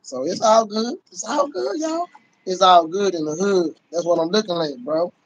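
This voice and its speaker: High-pitched man in slangy fan chat. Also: sing-song, normal speed, dull audio, slight background noise. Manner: slangy, repetitive catchphrases, heavy swearing. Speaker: livestream host and Cowboys fan. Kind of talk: casual livestream sports chat. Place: small room indoors. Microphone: phone at close range.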